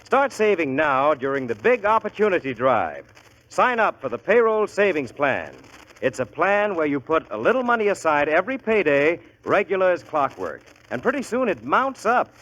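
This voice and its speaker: A man's voice: on the high side, big pitch swings, normal speed, fairly bright tone. An American man announcing on 1940s radio, reading scripted copy in an emphatic, upbeat way, on an old muffled broadcast.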